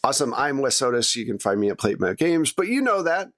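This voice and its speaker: A man's voice: mid-range voice, sing-song, quick pace, fairly bright tone. A man speaking as game master and host of an actual-play podcast, in a conversational style.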